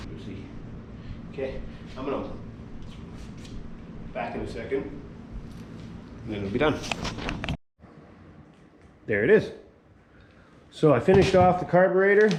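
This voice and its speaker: A man casually narrating his repair work in a workshop, half muttering to himself as he works, a little hoarse. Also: medium pitch, roomy sound, lo-fi sound, noisy background. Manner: relaxed, mutters quietly while working. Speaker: vlogger working on a small motor vehicle.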